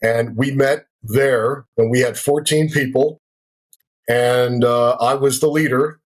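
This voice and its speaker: A man in his sixties with a somewhat husky voice recounts his life story as a guest. He speaks reflectively and unhurriedly, a former radio broadcaster settling into his memories. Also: mid-range voice, steady tone, takes it slowly, low-quality recording. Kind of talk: personal testimony in conversation.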